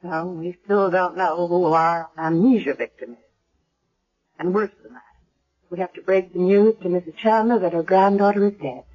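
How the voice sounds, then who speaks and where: A woman's voice: fairly low pitch, steady tone, normal speed, a little mellow, natural voice. An actress playing an earnest, worried woman doctor in a 1970s radio drama. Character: concerned woman hospital doctor. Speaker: hospital doctor. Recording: dull lo-fi vintage radio recording.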